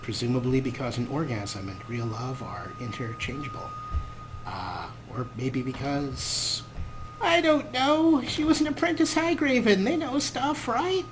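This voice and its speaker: A man's voice: medium pitch, big pitch swings, unhurried, background noise. A man hosting a gaming show with casual let's-play commentary, talking in a wry, rambling way.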